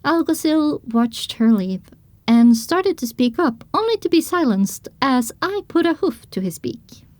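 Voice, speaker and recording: A woman reading scripted first-person story narration for an audio drama, with expressive storytelling delivery. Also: sits high, measured pace, dry recording.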